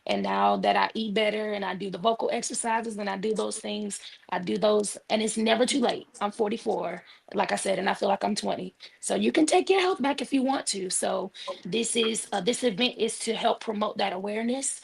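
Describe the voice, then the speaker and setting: An earnest woman in her forties telling her story in a panel conversation. Her voice has heavy fry and a husky, breathy edge. She talks in steady, heartfelt testimony on a remote call. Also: medium pitch, a little fast. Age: 40s. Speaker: worship leader and singer. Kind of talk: casual panel chat.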